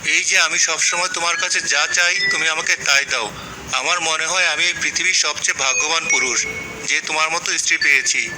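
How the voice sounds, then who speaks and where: A man's voice: a little high, moderate pace, lightly acted. A man voicing a needy, doting village husband in a cartoon, plaintive and sentimental. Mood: affectionate. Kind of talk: cartoon voice acting. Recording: clear outdoor-like background noise.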